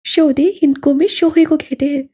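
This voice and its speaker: A woman voicing eager, teasing young women chatting among friends in an audio novel, with an animated manner. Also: high voice, moderate pace, close to an everyday voice.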